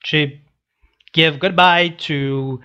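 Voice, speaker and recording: A young adult man giving casual read-along commentary, halting and restarting his phrases as he goes. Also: on the high side, fairly even intonation, bright, forceful tone, smooth. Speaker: reaction video host. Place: small room indoors. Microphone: headset boom mic.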